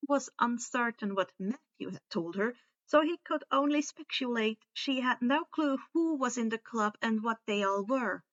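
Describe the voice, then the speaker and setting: A woman reads fiction aloud in a high, very bright voice. She sometimes trips on a word and rereads it. The home recording is a little dull. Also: lively intonation, normal speed. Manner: stumbles and rereads words. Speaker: fan fiction reader. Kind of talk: story narration with character dialogue.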